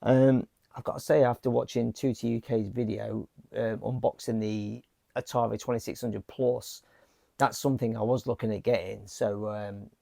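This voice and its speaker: A man chatting casually in a home vlog, his voice croaky from a lingering cough, rambling with plenty of fillers and restarts. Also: fairly low pitch, steady tone, moderate pace, mellow, no echo, studio quality. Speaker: vlogger. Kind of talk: casual chat. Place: home game room. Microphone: small handheld mic.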